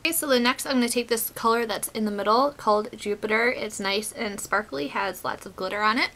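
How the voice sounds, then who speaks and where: A woman's voice: a little high, brisk. A woman in her twenties getting over a cold, chatting casually through a makeup tutorial with lots of "um" and "like", recorded on a close webcam in a small bedroom. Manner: chatty, relaxed, many fillers like "um" and "like". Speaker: beauty vlogger.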